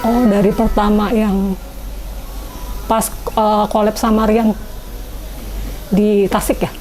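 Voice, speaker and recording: A woman's voice: medium pitch, level tone, takes it slowly, darkish tone, slight background noise, rough recording. A woman of 45 introducing herself and answering questions as a job candidate. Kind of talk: self-introduction and interview answers.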